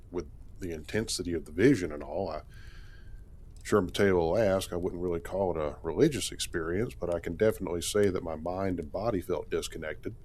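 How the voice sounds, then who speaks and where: A man's voice: low-pitched, darkish tone, close to an everyday voice. A man playing an easygoing, curious spaceship captain in a sci-fi game story, talking casually and thinking aloud. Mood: reflective. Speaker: actor voicing a game character in a fan story. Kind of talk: conversational character acting. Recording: clearly noisy background.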